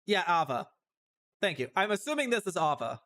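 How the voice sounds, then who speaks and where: Animated, high-pitched man streaming a game. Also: brisk. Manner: talks to himself, guessing aloud. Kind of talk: livestream game reaction commentary. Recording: music behind the voice.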